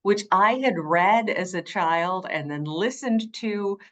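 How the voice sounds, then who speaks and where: A woman's voice: lively intonation, takes it slowly, a bit bright. A middle-aged woman with an alto voice, telling her story emphatically as an interview guest. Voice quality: low alto, changed by vocal nodules. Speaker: guest, audiobook narrator.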